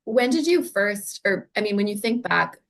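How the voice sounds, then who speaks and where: A woman's voice: mid-range voice, animated, moderate pace, gravelly. A woman interviewer who reads a formal introduction and then asks long, careful questions. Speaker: interviewer, program director. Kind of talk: interview host.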